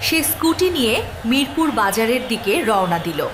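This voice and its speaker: A woman's voice: a little high, very animated, normal speed, over music. A woman reading scripted narration for a dramatised story.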